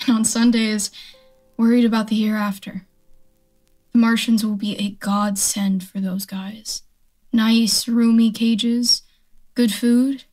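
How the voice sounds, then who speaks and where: A woman's voice: fairly high pitch, a little mellow. A woman playing a defiant, survival-minded militia stranger in a radio play, talking in a blunt, folksy, speechifying way. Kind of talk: radio drama acting.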